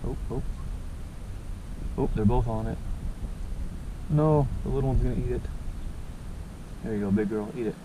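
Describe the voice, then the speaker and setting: A man with a mid-pitched voice talks casually as he fishes, folksy and colloquial, often muttering to himself. The recording is outdoors on an action camera, with clear background noise of ambience and insects. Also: moderate pace, long pauses. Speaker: angler filming a fishing outing. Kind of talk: casual chat while fishing. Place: outdoors on a grassy pond bank.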